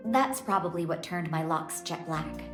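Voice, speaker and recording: A woman's voice: low-pitched, expressive, normal speed. An actress voicing a young-looking priestess of a dragon god, a long-lost doting mother, in an English game dub. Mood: calm, explaining. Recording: echoing voice under prominent music. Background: guitar and instrumental music.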